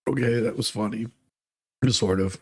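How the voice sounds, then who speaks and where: A man's voice: lowish voice, steady tone, normal speed, slightly rough. An American man from the Detroit area hosting with rambling, salty, sardonic casual commentary. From Detroit area, United States. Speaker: host of a humorous letter-reading show.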